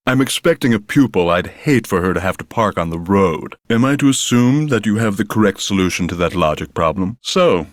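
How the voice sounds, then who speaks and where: An actor playing a smooth, self-assured tutor of the paranormal in a mystery game. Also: male voice, lowish voice, no room sound, clean studio sound, lightly acted. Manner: polished, condescending. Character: smooth male con-man tutor.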